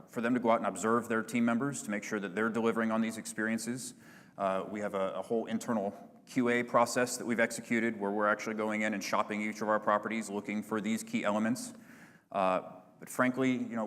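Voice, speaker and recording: A man presenting at a business conference, answering audience questions in a relaxed, filler-heavy corporate manner. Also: on the low side, in a large hall. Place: large conference hall.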